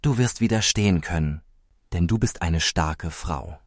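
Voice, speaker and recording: An actor playing a solemn, encouraging interviewer in a satirical radio play. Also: male voice, low-pitched, a little slow, no echo, clean studio sound.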